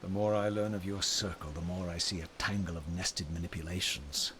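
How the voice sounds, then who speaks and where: A tormented wraith from a gothic video game. He is grave and theatrical, choosing archaic words with deliberate, cold scorn. A steady bed of ambient noise sits behind the voice. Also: sits low. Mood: coldly probing. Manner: formal, deliberate, archaic eloquence. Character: tormented male wraith, brooding, eloquent, coldly scornful. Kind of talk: dramatic video game voice acting.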